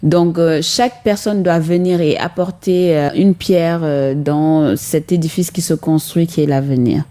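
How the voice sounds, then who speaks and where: A woman, giving earnest, measured answers with frequent hesitations as a radio interview guest. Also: deep voice, fairly even intonation, dark tone. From Senegal. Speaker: guest, chemist and entrepreneur.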